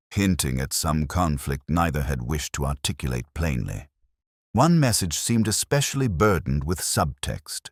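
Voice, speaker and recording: Very deep-voiced male mystery narrator. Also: dry recording, clean studio sound. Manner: measured, literary, solemn storytelling. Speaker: audio drama narrator. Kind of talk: scripted first-person detective story narration.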